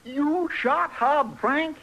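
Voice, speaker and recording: An actor playing an excitable, grumbling but loyal frontier deputy with a folksy rural Western drawl in an old-time radio western. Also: male voice, extremely high pitch, extremely slow, creaky, exaggerated voice. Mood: indignant. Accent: rural American Western drawl. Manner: folksy, homespun, drawling complaint. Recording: noisy vintage radio broadcast.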